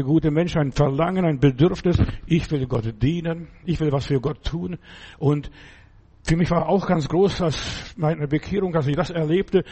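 A man preaching a sermon as pastor, earnest and exhorting, repeating key phrases with slow, emphatic stresses. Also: on the high side, darkish tone. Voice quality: gravelly, husky voice.

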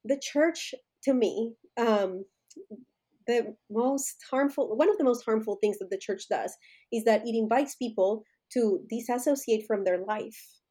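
Colombian-born woman in her thirties, guest on a podcast; breathy, slightly high, insistent and repetitive for emphasis. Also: measured pace, dark tone. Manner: emphatic, repeats key phrases for stress. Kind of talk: conversational interview.

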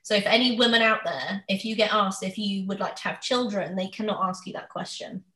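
A woman's voice: medium pitch, a little fast, sharp tone. A woman, talking candidly and chattily in a casual conversation. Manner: chatty, candid, acts out others' questions. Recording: roomy, echoey sound.

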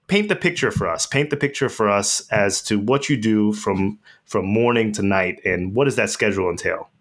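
A man's voice: fairly low pitch, normal speed, creaky, a bit bright. A man co-hosting a podcast who asks his questions eagerly and with enthusiasm. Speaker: podcast co-host.

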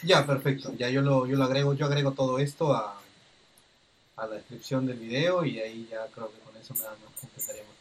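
A man's voice: mid-range voice, steady tone, brisk, husky. Chatty male podcast host. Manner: conversational, tag questions "¿no?", fillers "este". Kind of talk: casual podcast interview.

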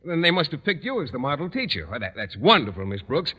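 An actor playing a shy, earnest science teacher with a hesitant, awkward manner in a 1940s radio comedy. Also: male voice, a little high, big pitch swings, fast talker, sharp tone, breathy edge, in a large hall, full character voice. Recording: muffled 1940s radio recording.

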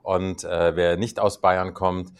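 Joking, low-voiced older man co-host. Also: flat delivery, slow pace, clean tone. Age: 60s. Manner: joking, playful, hesitant with fillers. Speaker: podcast co-host. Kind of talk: casual interview chat.

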